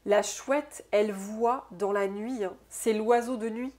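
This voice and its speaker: A woman with a stuffy, congested nose from a cold, giving an intuitive oracle card reading to her viewers, musing aloud softly and often tagging her sentences with "hein". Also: medium pitch, steady tone. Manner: soft, unhurried, musing aloud with frequent "hein". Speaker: soul therapist and oracle card reader.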